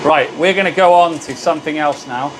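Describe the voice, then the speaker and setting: Host: hoarse man, slightly high voice, chatty and friendly with viewers, casual live-stream energy. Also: fairly quick, background noise. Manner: chatty, greets viewers by name. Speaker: livestream host.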